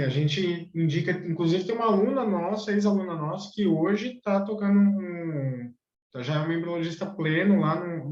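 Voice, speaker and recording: Male co-host, slightly high voice, conversational, dull echoey audio. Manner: conversational, agreeing and adding examples. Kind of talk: live online class discussion.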